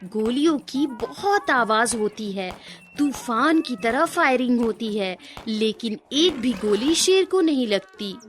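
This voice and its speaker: A woman's voice: a little high, lively intonation, slow pace, soft background music. A woman narrating a scripted children's cartoon story.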